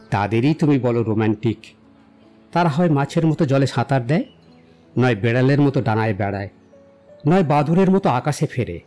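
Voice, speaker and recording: An actor playing a self-assured young romantic in an audio drama, speaking in a poetic, declamatory and philosophizing way. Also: male voice, mid-range voice, warm tone, lightly acted. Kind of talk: dramatized audio story acting. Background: background music.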